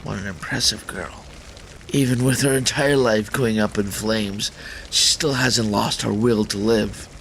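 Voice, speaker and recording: A man's voice: fairly low pitch, fairly even intonation, a little slow, gravelly, a bit bright, low-quality recording. A man hosting a gaming channel, reading a visual novel aloud as dramatic narration, with faint noise in the recording.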